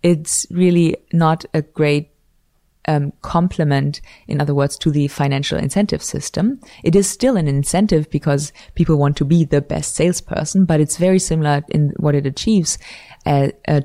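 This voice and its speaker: Gravelly, mellow woman academic. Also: low-pitched, no echo. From Switzerland. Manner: thoughtful, academic, frequent self-corrections. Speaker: guest researcher on incentives. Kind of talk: conversational interview.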